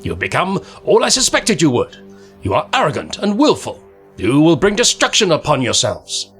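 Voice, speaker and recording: Guy doing a sly old doctor figure, high and needling, theatrical, storybook reading with music underneath. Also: huge pitch swings, moderate pace, a bit bright. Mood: stern. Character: old male alien traveller, defiant, probing.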